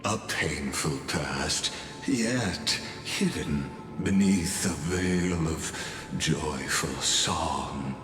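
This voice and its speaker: An actor playing a sardonic, theatrical game villain who narrates doom in a pompous, mocking, drawn-out way. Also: male voice, rumbling low, full character voice. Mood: brooding. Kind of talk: dramatic scripted game monologue. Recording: echoey hall reverb, music behind. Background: music.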